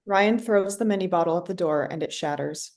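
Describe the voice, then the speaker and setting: A woman's voice: lowish voice, fairly quick, a little mellow. A woman reading scripted stage directions as narrator for a play reading.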